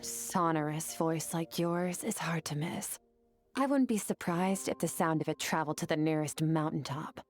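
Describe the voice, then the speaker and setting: A woman's voice: low voice, normal speed, faint music behind, lightly acted. A woman voicing an aloof, stoic young swordswoman in a video game, terse and matter-of-fact. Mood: dry.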